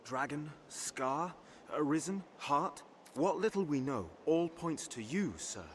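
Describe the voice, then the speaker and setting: An actor playing a courteous, dutiful captain of a hunting order in a fantasy game, speaking formally in courtly, archaic phrases. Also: male voice, on the high side, animated, slow, a little hiss or hum. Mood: businesslike. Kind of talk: scripted fantasy game dialogue.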